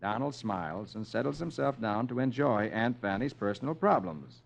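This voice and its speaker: Animated male vintage radio storyteller. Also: fairly low pitch, normal speed, gravelly. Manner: dramatic storyteller. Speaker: host and narrator reading comic strips to a child. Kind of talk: 1950s children's radio narration. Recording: muffled old radio recording, music behind.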